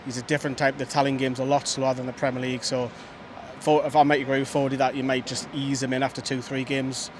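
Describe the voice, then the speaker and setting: Measured fan pundit outdoors. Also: male voice, medium pitch, flat delivery. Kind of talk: casual football chat. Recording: outdoor street noise.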